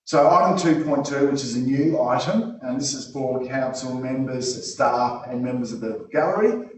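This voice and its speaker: Loud Australian man chairing a council meeting. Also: mid-range voice, moderate pace. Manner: loud voice, informal and joking. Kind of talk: formal meeting opening, casual asides. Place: council chamber. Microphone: not using the microphone. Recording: roomy, from far off.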